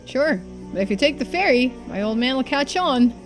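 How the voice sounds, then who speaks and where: A woman's voice: high-pitched, animated, normal speed, pitched above the performer's own voice, low-quality recording. A confident young man from a story game, voiced by a woman. He is laid-back and shrewd, and talks in a composed, matter-of-fact way. Game music plays behind the voice. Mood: serious, composed. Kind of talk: voicing game dialogue in a playthrough.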